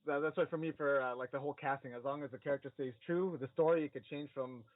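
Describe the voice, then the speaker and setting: A man in a casual podcast discussion, feeling his way through his thoughts in a hesitant, halting way. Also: mid-range voice, steady tone, no echo. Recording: narrow phone-like band, dry.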